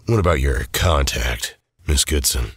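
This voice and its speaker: Man as very deep, raspy old president. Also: lively intonation, dry recording, clean studio sound, exaggerated voice. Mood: guarded. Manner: measured, businesslike. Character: older silver-haired male president, measured and guarded.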